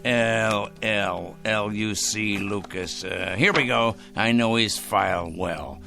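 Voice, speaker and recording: An actor in a radio drama playing an obsessive, self-righteous crank, accusing and condescending, with pompous, fussy diction and muttered repetitions. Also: male voice, lowish voice, takes it slowly, gravelly, pushed and bright, soft background music, full character voice.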